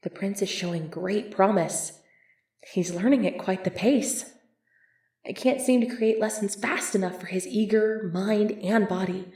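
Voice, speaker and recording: A woman's voice: medium pitch, a little slow, darkish tone, husky, no room sound. A woman playing an earnest, easily flustered medieval knight devoted to her prince, speaking politely and formally in an ASMR roleplay. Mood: wistful, fond. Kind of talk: ASMR roleplay acting, formal old-fashioned speech.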